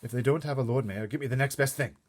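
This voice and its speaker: Storybook queen done by a man: raised pitch, husky, imperious, brisk and matter-of-fact. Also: close to an everyday voice. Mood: brisk, resolute. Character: commanding, brisk, unflappable Queen of England. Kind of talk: storybook read-aloud.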